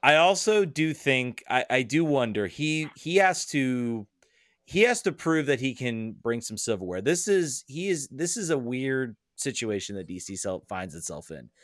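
A man's voice: mid-range voice, fairly bright tone, no echo, studio quality. A man talking as a podcast guest in casual chat, hesitant and often repeating words as he thinks aloud.

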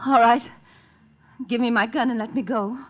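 An actress playing a proud, sharp-tongued young woman in a 1950s radio drama, theatrical and biting. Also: a little high, fairly even intonation, normal speed, natural voice. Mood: flustered, indignant. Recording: muffled old radio broadcast.

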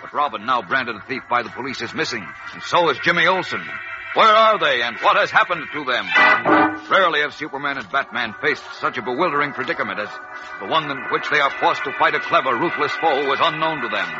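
Dramatic American radio narrator over music. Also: male voice, mid-range voice, expressive, fast talker. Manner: suspenseful, emphatic. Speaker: serial narrator. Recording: muffled old radio recording.

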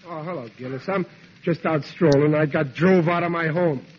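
Blustery high-pitched radio dad. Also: male voice, normal speed, slightly rough. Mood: sheepish grumbling. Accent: American. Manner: grumbling, indignant, ungrammatical working-man talk. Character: blustery, stubborn, slow-witted working-class family father. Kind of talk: 1940s American radio comedy acting. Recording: dull vintage radio recording, hissy.

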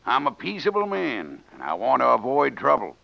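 Scheming Western rancher heavy, deep fry, lively pitch, vintage radio western. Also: male voice, moderate pace, full character voice. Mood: smooth, scheming. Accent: American Western. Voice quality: gravelly. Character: scheming rancher villain posing as peaceable man. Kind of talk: old-time radio western acting. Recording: muffled old radio recording.

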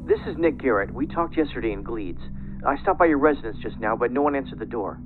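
A narrow, tinny phone line carries a male investigator leaving a message. He is courteous and matter-of-fact, talking quickly over clearly audible music. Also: medium pitch, animated. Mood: businesslike. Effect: telephone filter. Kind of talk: audio drama acting.

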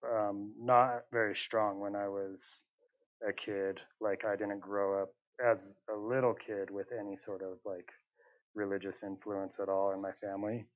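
A young man from northern Utah speaks in a low, fairly flat voice, working through his answers with plenty of fillers and restarts. The sound is dry and a little dull. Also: unhurried, dry recording. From northern Utah, USA. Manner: hesitant, many fillers and restarts. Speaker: interview guest, muralist. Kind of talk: casual conversational interview.

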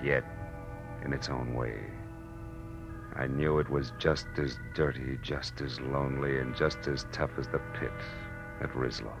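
Deep-voiced hard-boiled American detective. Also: male voice, steady tone, measured pace, vocal fry, full character voice. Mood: reflective, weary. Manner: terse, cynical, tough-talking. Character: hard-boiled, world-weary male private detective narrator. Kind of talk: 1940s radio drama acting, noir narration. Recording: band-limited old radio recording with music.

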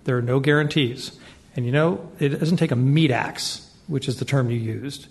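A man speaking as a debate panelist, setting out his position step by step. He is measured and insistent. His voice has an average pitch. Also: gravelly, a little mellow. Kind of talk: debate argument.

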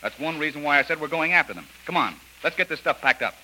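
Fast, forceful jungle adventurer Jungle Jim. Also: male voice, fairly high pitch, expressive, poor audio. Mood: brisk, decisive. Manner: brisk, take-charge. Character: Jungle Jim, brisk commanding adventurer hero, man. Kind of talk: 1930s radio serial acting. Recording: old radio recording with faint noise.

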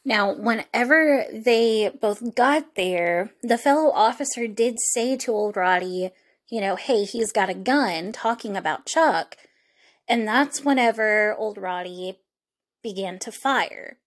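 A woman hosting a true crime podcast, speaking in an informal, reflective way with halting, conversational phrasing. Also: mid-range voice.

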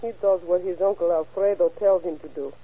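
An actress playing a guarded female informant, speaking in a deliberate, insinuating way in an old radio crime drama. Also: lowish voice, steady tone, husky. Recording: tinny, narrow-band vintage radio recording.

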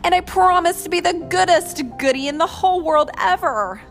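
A woman's voice: a little high, very animated, slow pace, a bit bright, soft background music, exaggerated voice. A woman voicing a villainous pirate dinosaur in a children's story, theatrical, wheedling and menacing.

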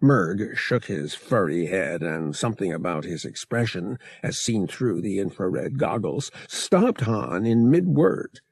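A man's voice: low voice, big pitch swings, a little slow, gravelly, few pauses, a little mellow, breathy edge, no room sound. A man narrating an adventure novel as an audiobook, reading expressively from a script.

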